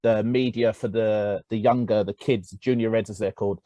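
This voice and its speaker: A man co-hosting a podcast in casual conversation, speaking haltingly with many restarts. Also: fairly low pitch, steady tone, mellow, no room sound. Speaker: podcast co-host.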